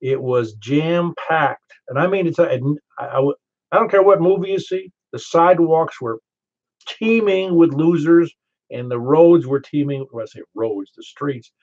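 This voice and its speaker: A man's voice: fairly high pitch, a little mellow. Older Southern man chatting on ham radio. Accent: Southern American. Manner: chatty, storytelling, laughs easily. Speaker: ham radio net control. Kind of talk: casual radio chat. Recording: muffled ham radio audio.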